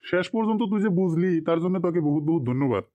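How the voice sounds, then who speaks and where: A man as a callous, high-pitched bullying boss. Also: expressive, racing, warm tone, highs cut off. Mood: scheming. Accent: rural Bengali dialect. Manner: scolding, dismissive. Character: callous, exploitative boss and his bullying henchman. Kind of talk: cartoon drama dialogue.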